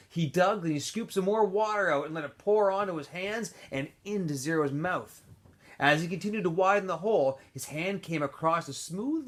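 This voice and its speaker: A man, a teacher, reading a novel aloud for students, with expressive delivery, small asides and self-corrections. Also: a little high. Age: adult.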